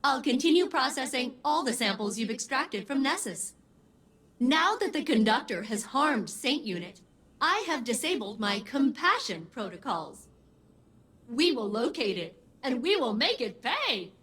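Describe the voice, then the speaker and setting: A woman voicing a chirpy, fiercely loyal computer intelligence in a video game, with a theatrical, slightly husky voice. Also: high voice, sing-song, measured pace, exaggerated voice. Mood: upbeat, protective. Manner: bright, theatrical, swooping. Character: chirpy, loyal female ship AI, fiercely protective.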